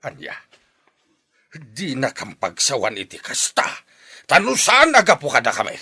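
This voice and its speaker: A man playing an angry, authoritarian middle-aged father in a radio drama, scolding forcefully with a Filipino accent. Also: medium pitch, huge pitch swings, normal speed, husky, full character voice. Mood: furious. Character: angry, authoritarian father berating his ungrateful son. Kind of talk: Ilocano radio drama acting.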